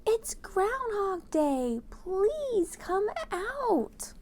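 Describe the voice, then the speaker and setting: A woman voicing excited townspeople shouting and stretching out their words in a children's storybook read-aloud. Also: very high-pitched, very slow, a little hiss or hum, voice pushed up, heavily acted. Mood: urging.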